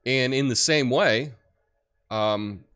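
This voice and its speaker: A deep-voiced man hosting a livestream, chatting casually and reading viewer comments with lots of fillers. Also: slow pace, smooth. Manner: rambling, relaxed, many fillers and restarts. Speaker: livestream host.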